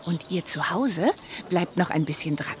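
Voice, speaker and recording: An actress playing a brisk, cheerful investigator who addresses young listeners in a children's radio play. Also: female voice, on the low side, slight background noise, natural voice. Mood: friendly.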